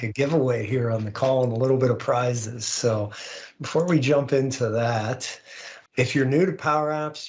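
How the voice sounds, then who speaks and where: A man hosting an online tech community call, presenting news in an informal, conversational, upbeat way. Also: mid-range voice. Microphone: online call microphone. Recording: slightly dull, lo-fi online call audio.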